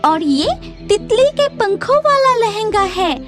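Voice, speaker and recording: A woman voicing an earnest young cartoon weaver bird, sweet and expressive, with music behind her. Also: extremely high pitch, slow, exaggerated voice. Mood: eager, proud. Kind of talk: cartoon character acting.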